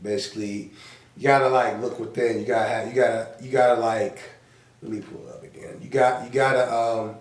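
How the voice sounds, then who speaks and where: A hoarse man chatting on a podcast as co-host, rambling, repeating himself and cursing freely. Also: mid-range voice, little pitch movement, fairly quick, roomy sound, recorded from a distance. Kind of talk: casual podcast chat.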